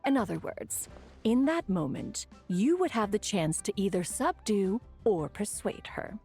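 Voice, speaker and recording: A woman's voice: medium pitch, big pitch swings, measured pace, warm tone, faint music behind. A woman voicing a proud, aloof immortal adept in a video game, speaking formally and haughtily. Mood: measured, strategic. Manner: formal, haughty, refers to self as one. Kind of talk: game voice acting.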